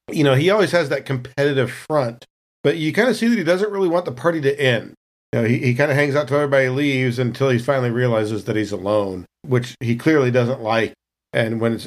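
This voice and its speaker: A man with a medium-pitched voice plays a tabletop roleplaying game. He narrates his character in a casual, improvised way, as conversational storytelling.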